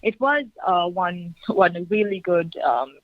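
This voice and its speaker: A woman from Bangladesh, a documentary filmmaker, talking on the phone in a soft, searching way with many fillers. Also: on the low side, lively intonation, slow pace. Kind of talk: phone interview. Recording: muffled phone line.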